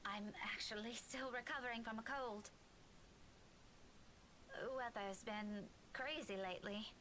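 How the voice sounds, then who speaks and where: A young woman answers lightly, brushing off her worries in a bright, slightly raised voice, as a streamer voices her. Her manner is breezy. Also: a little high, steady tone, unhurried, a little hiss or hum. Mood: dismissive.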